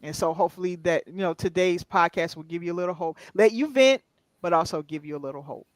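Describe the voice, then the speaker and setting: A man's voice: high-pitched, fast talker, dry recording. A man joining a podcast as a guest, talking casually in a reassuring, explanatory way. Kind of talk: casual chat.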